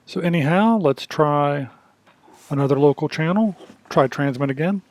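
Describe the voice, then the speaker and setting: A man's voice: on the high side, normal speed, dry recording. A man hosting a casual hands-on demonstration, talking in a plainspoken, folksy way. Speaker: video host demonstrating radio gear.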